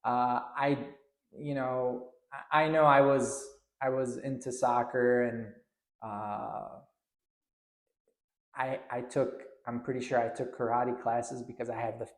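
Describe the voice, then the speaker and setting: Lebanese-born man, native Arabic speaker, easygoing and halting, mid-range voice, reverberant room. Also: mid-range voice. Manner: relaxed, hesitant with many fillers and restarts. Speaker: podcast guest. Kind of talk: casual interview chat.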